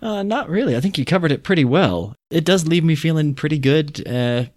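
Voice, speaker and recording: A man's voice: on the high side, expressive, brisk, darkish tone, no echo, clean studio sound. A man from the United States co-hosting a podcast in a casual, conversational style. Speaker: podcast co-host. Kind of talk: casual chat.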